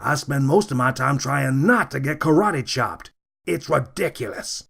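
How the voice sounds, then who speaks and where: A man's voice: mid-range voice, lively intonation, unhurried, vocal fry, fairly bright tone, slightly rough, full character voice. A man voicing a weary, put-upon alpaca police officer in a read-aloud story, talking in a dry, exasperated way. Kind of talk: storybook character voice.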